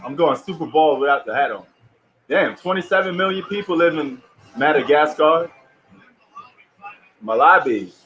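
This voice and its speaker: A man's voice: medium pitch, fairly bright tone, a little hiss or hum, low-quality recording. Laid-back New man, slangy chat. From New York. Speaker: live stream host. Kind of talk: live stream reaction commentary. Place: at home.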